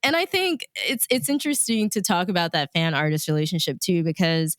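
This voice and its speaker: Smooth, lower-voiced American woman in casual chat. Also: fairly quick, no echo, clean studio sound. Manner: animated, informal, frequent "like" and "you know". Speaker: radio DJ and music supervisor, interview guest.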